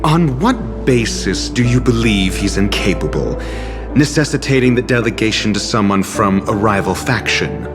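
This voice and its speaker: A man's voice: fairly low pitch, lively intonation, moderate pace, close to an everyday voice. A man voicing a haughty, clever male doctor in a game, dry and condescending. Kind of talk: game voice acting. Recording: game audio with music behind.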